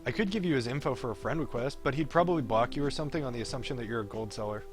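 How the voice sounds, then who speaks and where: A man's voice: medium pitch, racing, close to an everyday voice. A man voicing a wry, cocky young pro gamer from a visual novel, teasing and breezy. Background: game music.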